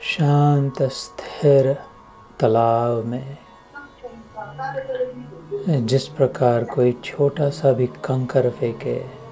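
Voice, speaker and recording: A male meditation teacher delivers a devotional discourse in a warm, relaxed voice of medium pitch. He moves extremely slowly and solemnly, setting down short, deliberate phrases with long pauses, while music plays behind him. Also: gravelly, rough recording. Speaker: spiritual teacher leading guided meditation. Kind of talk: slow devotional meditation discourse with chanted verses.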